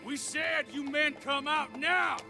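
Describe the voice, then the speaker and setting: Frontier lawman, extremely high strained pitch, piercing, stern, slow shouted delivery, western game. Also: steady tone, vocal fry, soft background music, exaggerated voice. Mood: demanding. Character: alarmed, shouting male lawman, stern and demanding. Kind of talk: cinematic western game acting.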